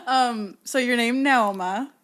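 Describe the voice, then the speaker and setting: A woman hosts a casual podcast interview. Her voice sits fairly high, and she asks her questions with encouraging laughs. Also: slow pace. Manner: warm, encouraging, laughs often. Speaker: host.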